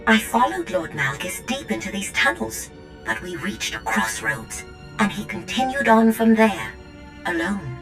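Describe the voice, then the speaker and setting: A woman's voice: mid-range voice, big pitch swings, measured pace, music in the background, rough recording. A woman voicing a frightened female medical droid who begs for help, in a game cutscene. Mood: pleading. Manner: desperate, begging.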